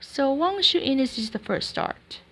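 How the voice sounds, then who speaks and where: A woman's voice: high voice, big pitch swings, measured pace. A woman giving casual, hesitant gameplay commentary, searching for her words as she goes. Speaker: gamer, channel host.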